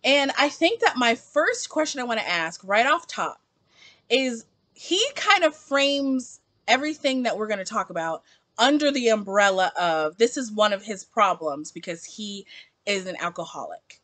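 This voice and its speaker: A woman in her late twenties talks straight to camera in an opinion vlog. Her voice is bright and a bit high, and it leaps up and down in pitch. She is emphatic and peppers everything with "like.". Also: normal speed. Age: 20s. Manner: animated, emphatic, heavy use of "like". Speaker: book vlogger.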